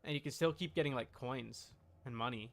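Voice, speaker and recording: A man giving casual, deadpan joking live commentary while playing a video game. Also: mid-range voice, a little fast. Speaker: game streamer. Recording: clear background noise.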